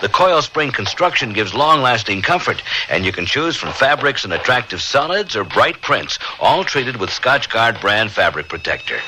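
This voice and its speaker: A man delivering a scripted commercial sales pitch as a spokesman. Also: bright, forceful tone, slight background noise.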